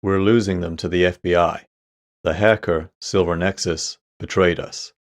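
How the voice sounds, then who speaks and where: A man's voice: low voice, steady tone, moderate pace, lightly acted. A man playing a cold, middle-aged crime syndicate boss in an audio drama, with a calculated, menacing manner. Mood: cold, calculating.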